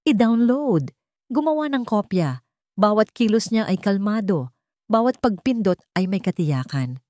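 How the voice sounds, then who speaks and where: A woman narrating a scripted story in a melodramatic way, with deliberate short phrases. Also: mid-range voice, a little mellow, no echo, studio quality.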